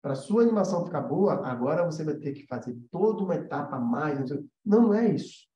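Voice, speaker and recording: A man's voice: on the high side, expressive, fast, mellow. A Brazilian man giving an informal live lesson as a teacher, conversational and emphatic, repeating key points and checking that listeners follow. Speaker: animation teacher hosting a live class. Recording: roomy echo, slightly dull sound.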